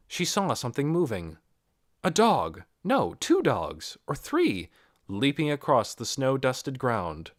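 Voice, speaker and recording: Audiobook reader telling a story, medium pitch, really animated and dramatic delivery. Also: dry recording, studio quality. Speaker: audiobook narrator. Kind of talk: scripted novel narration.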